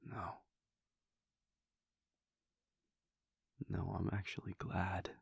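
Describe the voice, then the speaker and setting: A man voicing a weary, brooding male vampire prince in an intimate audio roleplay, speaking in a low husky whisper as a soft confiding monologue. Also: completely flat delivery, a little slow, no echo, exaggerated voice. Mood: melancholy.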